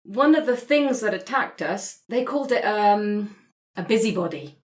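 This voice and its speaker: A woman's voice: medium pitch, expressive, normal speed, close to an everyday voice. A woman playing a wary, wry young woman in a tabletop game, speaking casually and tentatively. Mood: uneasy. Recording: slightly roomy sound.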